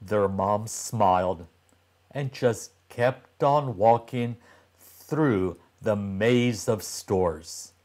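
An older man reading a children's story aloud with expressive, deliberate and emphatic delivery. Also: lowish voice. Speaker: storybook reader for young children.

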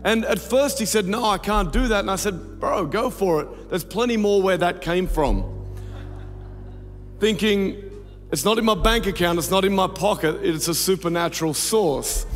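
Man, Australian accent, thirties, pastor, handheld mic, arena echo, high voice. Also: fairly bright tone. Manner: storytelling, then slow prayer. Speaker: pastor giving offering message. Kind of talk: church offering talk and prayer. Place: large arena church stage. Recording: reverberant arena with music.

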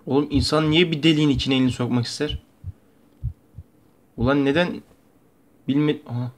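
A man's voice: mid-range voice, steady tone, moderate pace. A young man from Turkey giving casual, slangy, joking reaction commentary as a video host. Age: young adult. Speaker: YouTube host reacting to a horror cartoon. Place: bedroom at home.

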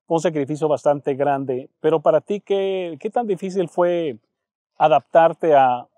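A man's voice: a little high, a little slow, warm tone, no room sound. Conversational male baseball broadcaster. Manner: conversational, asks prompting follow-up questions. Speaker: Spanish-language baseball broadcaster, interviewer. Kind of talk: interview questions.

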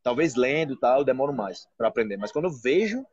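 A man's voice: mid-range voice, very animated, quick pace, a little mellow, husky, no room sound, muffled recording. A Brazilian man in his thirties, a Portuguese teacher, chatting casually and animatedly on a live video call from a restaurant, often asking "entendeu?". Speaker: Portuguese teacher and channel host. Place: restaurant, indoors. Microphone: phone held close, front camera.

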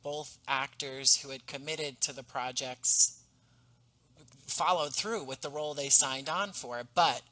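A man in his thirties, a guest talking about his audio drama in an animated, enthusiastic way over a headset on a video call from home. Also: medium pitch, measured pace, low-quality recording. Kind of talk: casual interview. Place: home room, indoors.

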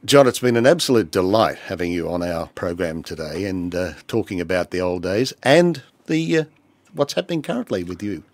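Low-voiced radio host, dry sound. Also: male voice, expressive, brisk, no room sound. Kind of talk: conversational radio interview.

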